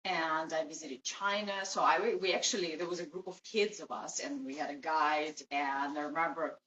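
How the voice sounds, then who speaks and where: A woman's voice: sits low, fairly even intonation, moderate pace, fairly bright tone, muffled recording. A woman from Russia with a Russian accent, chatting casually and giggling often on a date. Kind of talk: casual conversation. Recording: echoey room, distant mic, light noise.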